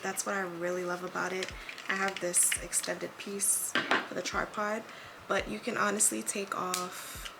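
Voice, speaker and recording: A young woman with a raspy voice, chatting casually to her camera while vlogging, thinking aloud and poking fun at herself. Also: medium pitch. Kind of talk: casual vlog talk to camera. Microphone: handheld pocket camera mic. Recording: noisy handheld camera audio.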